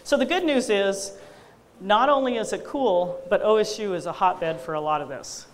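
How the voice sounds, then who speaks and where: A loud, energetic middle-aged woman lectures about engineering in a hall, talking chattily and filling pauses with ums and you-knows. Her voice sits at an ordinary pitch for a woman. A faint hiss runs under the recording. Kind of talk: public lecture with slides.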